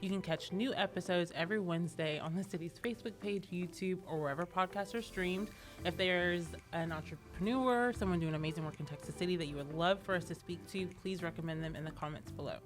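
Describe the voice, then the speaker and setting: Female podcast host, slightly low voice, casual presenter delivery, soft music underneath. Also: quick pace. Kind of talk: casual hosting, presenter sign-off.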